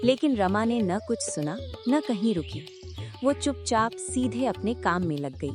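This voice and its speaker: A woman narrating a cartoon moral story in a measured storytelling manner. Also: on the high side, animated, over music.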